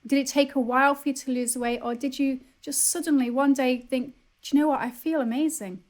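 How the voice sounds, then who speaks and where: High-pitched woman hosting an interview. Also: steady tone, a little fast, a little mellow. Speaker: interviewer, summit host. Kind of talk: casual interview questioning.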